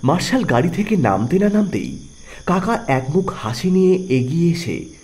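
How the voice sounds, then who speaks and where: A man's voice: fairly high pitch, sing-song, normal speed, a little mellow, husky. A man narrating a scripted audio story in a dramatic storytelling style.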